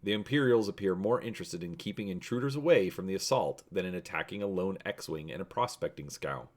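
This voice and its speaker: A man's voice: deep voice, brisk. A man hosting and narrating a dramatic reading of an adventure storybook. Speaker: host and narrator.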